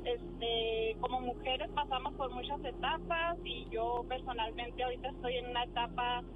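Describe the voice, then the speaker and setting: Middle-aged female caller, high voice, devout and earnest, from the Juárez Valley, muffled noisy phone call. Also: steady tone, normal speed. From Juárez Valley, Mexico, born in Texas. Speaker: radio show caller. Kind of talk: casual testimony on a call-in.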